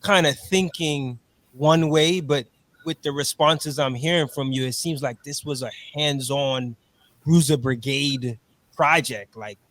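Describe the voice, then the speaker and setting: A man hosting an interview, asking measured questions and affirming answers with short replies. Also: on the high side, fairly bright tone. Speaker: interviewer host.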